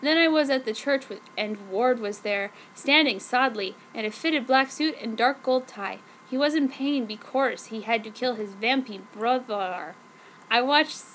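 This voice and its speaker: A woman with a high voice narrates amateur fan fiction. She reads it theatrically, as mock drama. The home recording has clear noise on it. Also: normal speed, fairly bright tone, rough recording.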